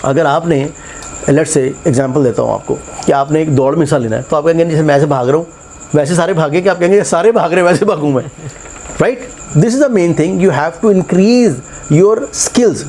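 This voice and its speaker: A man teaching English test skills, animated and full of rhetorical questions, mixing English phrases into his talk. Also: fairly high pitch, normal speed, slight background noise. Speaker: English test tutor and institute head. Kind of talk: casual classroom interview and explanation.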